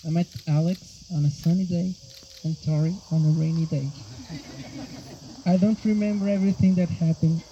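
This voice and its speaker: A man from Portugal with a Portuguese accent, speaking Portuguese natively and giving an earnest, halting wedding speech as officiant. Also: on the high side, fairly even intonation, slight background noise. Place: outdoor wedding. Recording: dull sound, loud outdoor crickets.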